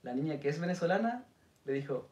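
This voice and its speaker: A man's voice: a little high, brisk. Chilean man, roomy and distant. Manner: relaxed storyteller, Chilean slang. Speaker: podcast host. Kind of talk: casual chat. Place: indoor studio set with city backdrop. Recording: roomy sound, mic a bit distant.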